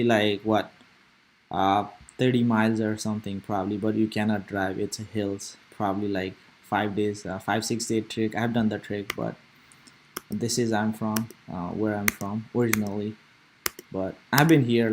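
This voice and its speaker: A young man with a fairly low voice talks through stock charts in a casual, meandering way. He thinks out loud, restarting sentences and filling pauses as he goes. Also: slight background noise. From Nepal. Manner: rambling, thinking aloud with many fillers and restarts. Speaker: stock trading streamer. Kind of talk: casual live market commentary.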